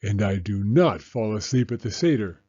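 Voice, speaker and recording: A man's voice: on the low side, expressive, normal speed, dark tone, lo-fi sound. A man voicing a huffy, defensive uncle in a storybook reading.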